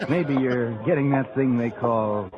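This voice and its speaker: Guy doing low sardonic old radio narrator, muffled sound, loud music all under it. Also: a little slow, lightly acted. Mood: knowing, ominous. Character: knowing, sardonic storyteller addressing the character directly. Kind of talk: 1940s radio suspense narration.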